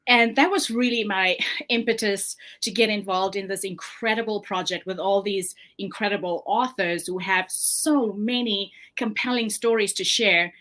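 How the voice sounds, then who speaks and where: A woman with a South African accent speaks with earnest openness and breaks into light laughter now and then. Her voice sits in a middling register. She talks like a guest on a relaxed interview. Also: mid-range voice, moderate pace, few pauses. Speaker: author guest. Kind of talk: casual interview chat.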